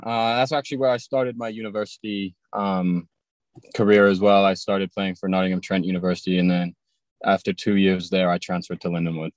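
Young man, humble and excited, dry sound. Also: low voice, brisk, dry recording. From Thailand, raised partly in England. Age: young adult. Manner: humble, excited, hesitant with many fillers. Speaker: guest, drafted rugby player. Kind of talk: phone interview from his car. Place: in a car.

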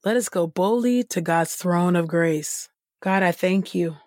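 A woman reading scripture and praying aloud in an earnest, reverent, deliberate way. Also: fairly low pitch, darkish tone, no room sound, studio quality. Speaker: Bible reading podcast host. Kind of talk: scripture reading and spoken prayer.

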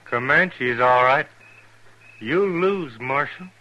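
An actor playing a fatalistic, plain-spoken frontier scout held prisoner in a 1950s radio western. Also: male voice, medium pitch, animated, slow, pushed and bright, muffled recording. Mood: resigned, calm. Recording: noisy vintage radio drama recording.

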